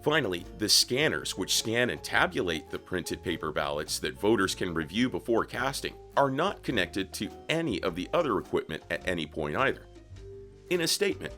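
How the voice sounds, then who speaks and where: A man's voice: mid-range voice, sharp tone. A man working as a voice actor, reading a formal statement as scripted narration.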